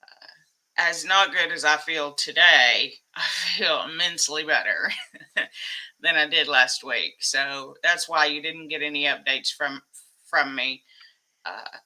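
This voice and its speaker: A woman hosting a casual live stream, a little hoarse from losing her voice, rambling and laughing often as she greets her chat. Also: deep voice, a little slow, long pauses, rough recording. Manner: excitable, reading chat names aloud.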